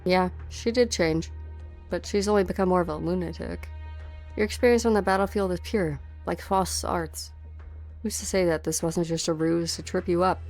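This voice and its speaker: Woman playing a cold, low-pitched enemy hunter. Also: moderate pace, darkish tone, soft background music, lightly acted. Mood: sardonic. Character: cold, taunting female enemy assassin hunting her prey. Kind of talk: dramatic game story dub.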